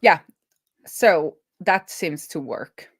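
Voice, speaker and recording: Low-pitched woman thinking aloud while coding. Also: lively intonation, extremely slow, husky. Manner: thinking aloud, talking through steps to herself. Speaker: web developer live-streaming a coding session.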